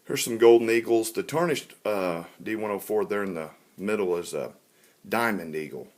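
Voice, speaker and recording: Low-voiced man giving an informal tour. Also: normal speed. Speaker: hobbyist radio collector. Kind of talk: informal show-and-tell tour narration.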